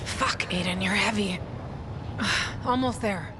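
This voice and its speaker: A woman's voice: mid-range voice, takes it slowly, noisy background, close to an everyday voice. An actress playing a tough, sarcastic young woman survivor in a video game, dry in banter and frantic when calling out. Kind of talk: video game cutscene acting. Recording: game audio with loud ambience.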